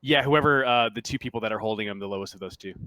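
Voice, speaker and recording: A man running a tabletop game, narrating off the cuff in a halting, conversational, explanatory way. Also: fairly low pitch, bright, forceful tone, no room sound. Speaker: game master.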